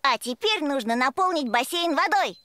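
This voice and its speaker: Eager, high-voiced little cartoon truck. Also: female voice, lively intonation, normal speed, pushed and bright, slight background noise. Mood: eager, friendly. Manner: eager, clearly addressing young children. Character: friendly, helpful young toy truck hero. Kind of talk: children's educational cartoon acting.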